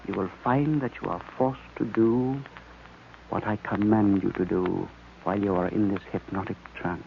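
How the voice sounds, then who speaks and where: A man playing a sinister, commanding hypnotist villain in an old radio serial, speaking in slow, deliberate, menacing commands with a creaky voice. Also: fairly low pitch, fairly even intonation. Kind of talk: 1940s radio serial acting. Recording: noisy, tinny old radio recording.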